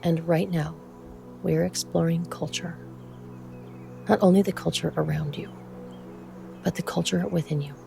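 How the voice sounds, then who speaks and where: A meditation guide speaking in a soothing, measured way with frequent pauses and a soft, at times breathy voice. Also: medium pitch, fairly even intonation, over music.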